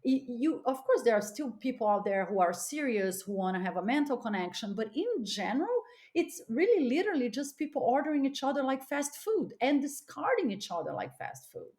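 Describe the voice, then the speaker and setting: A woman from Los Angeles talks as a podcast guest in a fairly high voice. Her delivery is informal and emphatic, full of conversational fillers, and she speaks candidly as in a casual chat. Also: big pitch swings, brisk, hardly any pauses, a little mellow. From Los Angeles, United States. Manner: emphatic, informal with frequent "like" and "you know".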